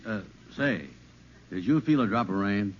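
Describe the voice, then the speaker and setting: An actor playing a slow, gullible, easygoing man in an old radio sitcom, with a drawling, folksy manner. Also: fairly low pitch, expressive. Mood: easygoing, puzzled. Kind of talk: 1940s-50s radio comedy acting. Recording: muffled old radio recording, loud hiss.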